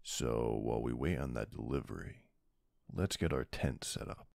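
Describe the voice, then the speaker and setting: A man playing a tough but soft-hearted mafia boss husband in an intimate ASMR roleplay, murmuring tenderly with a very deep, gravelly voice. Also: normal speed, no room sound, studio quality, heavily acted. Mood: warm, relaxed.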